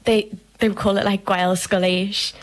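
Even-toned young woman. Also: mid-range voice, normal speed. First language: Irish. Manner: hesitant, frequent "like". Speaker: actor interviewed. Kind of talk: thoughtful interview chat.

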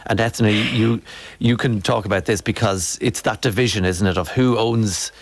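A man's voice: fairly low pitch, steady tone, moderate pace, a little hiss or hum. A man with an Irish accent interviewing guests conversationally on the radio.

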